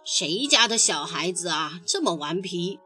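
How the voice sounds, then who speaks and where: A woman voicing a grumpy big catfish in a gruff, grumbling manner for a children's story. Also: medium pitch, moderate pace, pushed and bright, soft background music, voice pushed down, close to an everyday voice. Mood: annoyed.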